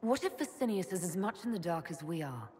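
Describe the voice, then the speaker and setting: A woman's voice: on the low side, sing-song, fairly quick, darkish tone. An actress playing a confident female adventuring leader in a video game, dry and decisive. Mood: wry, probing. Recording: clear background ambience noise.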